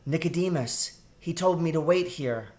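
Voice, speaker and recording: A man's voice: a little high, moderate pace, darkish tone, voice pushed up, natural voice. Man voicing a mild, patient female mouse. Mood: reassuring. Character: gentle adult mother mouse, puzzled, polite. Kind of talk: storybook character voice in a read-aloud.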